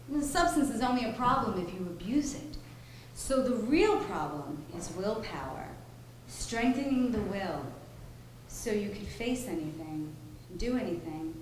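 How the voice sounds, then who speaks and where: A woman's voice: mid-range voice, natural voice. An actress playing a self-assured, philosophizing woman in a live stage drama, speaking in a wry, declarative way. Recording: very echoey, noisy live theater recording.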